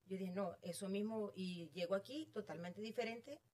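A middle-aged woman with a Venezuelan accent, a real estate agent guest telling her story in an animated, self-amused way. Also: mid-range voice, normal speed. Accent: Venezuelan Spanish. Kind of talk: casual interview chat.

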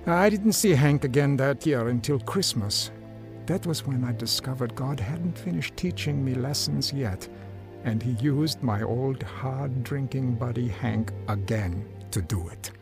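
A man's voice: mid-range voice, unhurried, natural voice. An actor playing a humble, sincere man who has given up drinking, telling his story in a radio drama with some hesitance. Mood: reflective. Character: earnest, humble reformed drinker recalling his life. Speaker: narrator and lead character. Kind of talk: radio drama acting with first-person narration. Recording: music behind the voice. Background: music.

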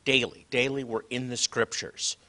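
A man hosting a religious show, teaching conversationally, often halting and repeating words as he explains. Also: mid-range voice, fairly even intonation, bright, forceful tone.

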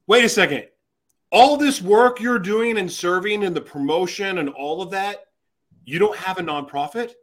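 A man's voice: sits high, moderate pace, a bit bright. A man hosting an interview, enthusiastic and effusive with praise. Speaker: interview host. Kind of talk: casual interview chat.

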